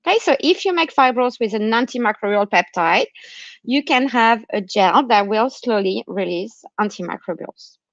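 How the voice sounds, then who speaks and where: A woman's voice: fairly high pitch, lively intonation, moderate pace, no room sound. A woman researcher with a non-Australian accent giving an explanatory academic talk online, often checking understanding with short tags. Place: remote online talk during lockdown. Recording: muffled lo-fi online call audio.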